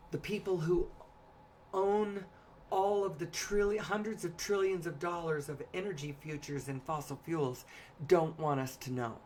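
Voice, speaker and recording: A woman with a hoarse, raspy voice giving a solo spoken monologue, pausing often to cough and clear her throat. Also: low voice, a little hiss or hum.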